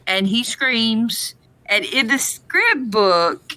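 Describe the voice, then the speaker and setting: A woman's voice: on the high side, expressive, very slow, pushed and bright, no echo. A woman co-hosting a podcast, chatting casually with dry amusement as she recaps a TV episode. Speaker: podcast co-host.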